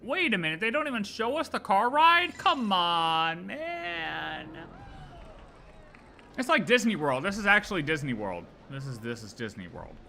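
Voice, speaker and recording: A young man streaming a game with casual live reactions, excitable, slangy and jokey. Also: sits high, unhurried, gravelly, a little hiss or hum.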